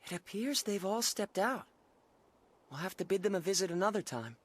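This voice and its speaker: An actress voicing a composed, formal young elf companion in an anime-style game, with measured, polite phrasing. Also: female voice, medium pitch, lively intonation, natural voice. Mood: gentle, composed. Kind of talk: English-dubbed anime-style game acting. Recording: hall reverb, music behind.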